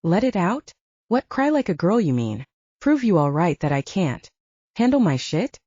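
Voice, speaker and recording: A woman's voice: lowish voice, big pitch swings, moderate pace, dark tone, breathy edge, dry recording. A woman voicing a tough but distressed young female soldier in an audiobook, demanding and emotional, cursing as she talks. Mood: distressed, angry. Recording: dull lo-fi audio, dry.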